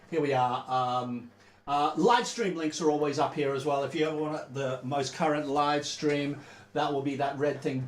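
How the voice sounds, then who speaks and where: Chatty man in an echoey room. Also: medium pitch. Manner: relaxed, chatty, rambling with many fillers. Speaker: band member hosting a livestream. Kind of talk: informal livestream chat.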